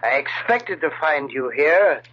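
An actor playing a stern, distrustful uncle, speaking in a measured, accusing way in 1940s radio drama style. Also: male voice, a little high, expressive, breathy edge. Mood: coldly accusing. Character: stern, distrustful uncle confronting his nephew. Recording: muffled old radio recording.

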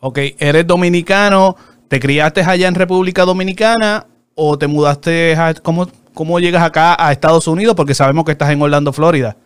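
A man hosting a casual interview show, opening with a lively promo and chatting loosely with his guest. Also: fairly high pitch, smooth, dry recording. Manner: lively, upbeat promo delivery with fast asides.

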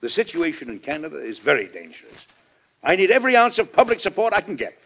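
An actor playing a dignified, worried American president and father in an old-time radio drama, formal and measured with some hesitation. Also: a little high, big pitch swings, creaky, heavily acted. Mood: stern, exasperated. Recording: dull, band-limited old radio recording.

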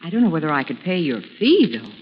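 A woman's voice: low voice, very animated, fast. An actress playing a plucky, polite young woman sleuth in a 1940s radio drama. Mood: politely casual. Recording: muffled, hissy old radio recording, reverberant.

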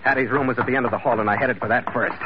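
An actor playing a tough, wry sailor and bodyguard in an old radio drama, terse and matter-of-fact. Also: male voice, medium pitch, fairly even intonation, rapid-fire, heavy background noise, close to an everyday voice. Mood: grim narration. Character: tough, wry Irish-American sailor hired as bodyguard, narrating. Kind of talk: 1940s-50s radio drama acting, hard-boiled narration. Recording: dull vintage radio recording.

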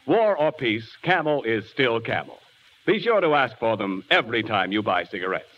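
A man's voice: medium pitch, very animated, moderate pace. A man reading a 1940s radio commercial as announcer in an emphatic, declamatory style. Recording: dull old radio broadcast, faint noise.

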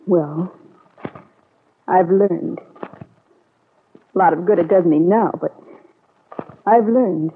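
A woman's voice: lowish voice, slow, long pauses, a little hiss or hum. A woman playing a hurt, disillusioned young niece in an old-time radio soap opera. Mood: bitter, resolute. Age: young adult. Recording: tinny vintage radio recording.

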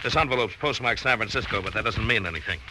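Actor as a low-voiced, determined amateur sleuth. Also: male voice, quick pace, natural voice. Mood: intent, determined. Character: determined male blackmail victim turned amateur sleuth. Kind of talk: old-time radio drama acting. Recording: noisy old radio recording.

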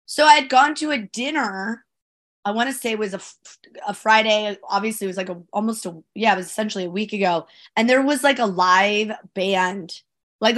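A woman co-hosting a casual podcast with a raspy voice that has not recovered after a week. She talks in loose, meandering asides at an everyday middle pitch. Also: a bit bright. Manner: chatty, trailing asides. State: raspy, strained voice. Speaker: podcast co-host, sports broadcaster. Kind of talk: casual chat.

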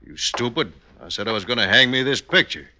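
An actor playing a weary, gruff Western outlaw at home with an American Western drawl, in 1950s radio drama style. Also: male voice, medium pitch, lively intonation, fairly quick, bright, forceful tone. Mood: tired, curt. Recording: noisy old radio recording with clicks.

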